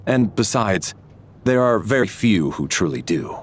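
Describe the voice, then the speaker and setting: An actor playing an earnest, reassuring military man in a video game, explaining things in a measured way. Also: lowish voice, very animated, a little hiss or hum. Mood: calm, reasoning. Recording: music under the voice. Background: game music.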